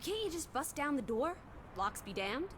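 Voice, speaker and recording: A spirited young game companion, high in pitch and very animated in her delivery. She is playful and teasing, tossing out wry, old-fashioned slang over faint background noise. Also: normal speed. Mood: brash, teasing. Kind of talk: naturalistic video game voice acting.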